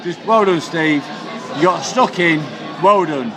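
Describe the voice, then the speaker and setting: A man's voice: fairly high pitch, unhurried, music in the background. A man chatting casually in a bar, gushing and repeating himself. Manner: gushing, repetitive. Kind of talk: casual, effusive chat. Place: busy bar. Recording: loud bar crowd around the voice.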